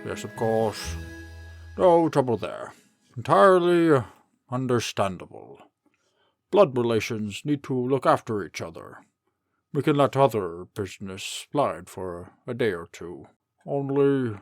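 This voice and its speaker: A pompous, effusive mayor of royal stock, played by a man for a comic dub. His pitch swings widely and he fusses over his words in a dry, close recording with faint music. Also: big pitch swings, measured pace, no echo. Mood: solicitous. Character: pompous, genial old king turned city mayor. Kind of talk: comic dub character acting.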